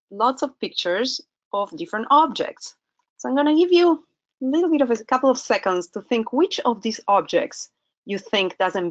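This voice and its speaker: A woman from Argentina in her fifties, with an Argentine accent, giving a lecture over slides as a professor, talking in a conversational way that asks the audience questions, with some hesitations. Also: fairly high pitch. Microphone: computer microphone in an online webinar. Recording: slightly muffled webinar audio.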